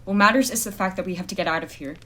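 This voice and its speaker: A woman playing a blunt, wary female private detective in an audio drama, measured and commanding in her delivery. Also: mid-range voice, fairly bright tone, a little hiss or hum, natural voice. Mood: grim, firm. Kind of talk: audio drama acting with noir narration.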